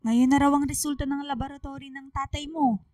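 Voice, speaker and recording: A woman performs a caring middle-aged mother with a Filipino accent, grave and full of worry. The voice sits somewhat high in a dry recording, with soft music behind. The delivery is emotional radio-drama acting. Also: steady tone, a little fast, no echo, close to an everyday voice. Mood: worried.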